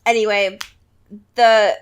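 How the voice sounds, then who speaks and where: A woman's voice: mid-range voice, little pitch movement, slow pace, clean tone. A woman podcast co-host in casual, dramatic fangirl chat about a book, full of slang and giggles. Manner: giggly, laughs often.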